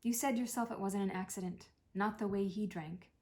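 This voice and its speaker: A woman's voice: mid-range voice, fairly even intonation, fairly quick, a little mellow, close to an everyday voice. A woman reading a play as an anxious, wounded grown daughter of a dying mother, defensive, sardonic and blunt in manner.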